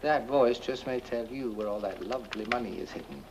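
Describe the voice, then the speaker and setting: An actor playing a flippant, scheming lover in a horror-comedy film, with a sardonic, theatrical delivery. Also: male voice, medium pitch, a little fast, lightly acted. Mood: scheming, reassuring. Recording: noisy old film soundtrack.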